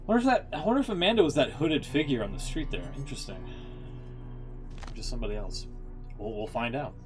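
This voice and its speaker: A man's voice: mid-range voice, lively intonation, quick pace, over music. A man giving casual, rambling, slangy reaction commentary as a streamer watching game story cutscenes.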